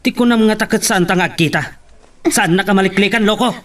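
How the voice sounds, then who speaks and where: A man's voice: high-pitched, a little fast, a bit bright. Man acting a jealous, confrontational young hothead. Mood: jealous anger. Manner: confrontational, taunting questions. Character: jealous, hot-tempered young man, quick to challenge. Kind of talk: Ilocano radio-style love drama acting.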